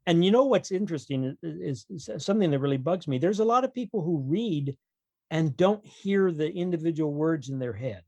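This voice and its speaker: A man with a slightly high voice speaks as a guest author in a casual interview. He explains his views in an opinionated, wryly joking way. The sound is dry, with no room echo. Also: lively intonation, brisk, darkish tone.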